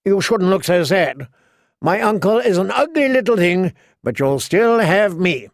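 A spoiled young king sneers and taunts in a shrill, high-pitched voice, as a male audiobook reader puts him on. His tone carries a gravelly edge, and the sound is close and dry. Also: very animated, a little slow, dry recording, exaggerated voice. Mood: taunting. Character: cruel spoiled teenage boy king, shrill.

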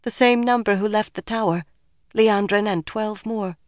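A woman's voice: mid-range voice, normal speed, dry recording, studio quality, pitched above the performer's own voice, lightly acted. A woman narrator voicing a frightened, stubborn young woman in an audiobook, pleading in a tremulous, emotional way. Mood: shaken, insistent.

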